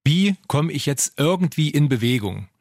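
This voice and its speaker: A man's voice: on the high side, sing-song, normal speed, a little mellow, no room sound, clean studio sound. A man in his forties speaking as a fitness trainer, explaining things informally with examples and frequent tag questions. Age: 40s. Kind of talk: casual explanatory coaching talk.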